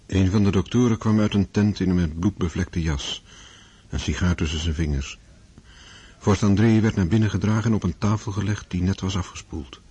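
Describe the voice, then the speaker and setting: A man narrating a war story in a radio drama, measured and sombre. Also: sits low, warm tone, husky, dry recording. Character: sober storyteller of a war novel.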